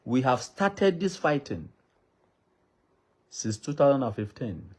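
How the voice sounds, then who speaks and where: A man's voice: mid-range voice, very animated, slow pace, a little mellow. A Nigerian man with a Yoruba accent, a native Yoruba speaker, makes an impassioned public appeal in a pleading, repetitive cadence like a preacher. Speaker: traditional ruler addressing the public.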